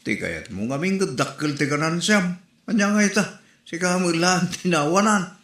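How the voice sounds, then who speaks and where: A man's voice: high voice, expressive, normal speed, a bit bright. A man with an Ilocano accent playing a teasing, advice-giving older father in a comedy audio drama. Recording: roomy echo, music underneath.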